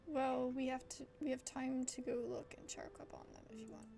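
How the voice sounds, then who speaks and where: A woman streamer giving casual, expressive let's play commentary as she reacts to a game. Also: high voice, fairly quick, creaky. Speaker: gaming streamer. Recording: loud music behind the voice.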